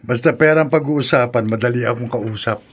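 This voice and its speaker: An actor playing a cocky, greedy gambler in a horror radio drama, with a brash, questioning manner. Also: male voice, mid-range voice, moderate pace, close to an everyday voice. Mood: eager, cocky. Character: cocky, greedy male gambler, wary of bargains.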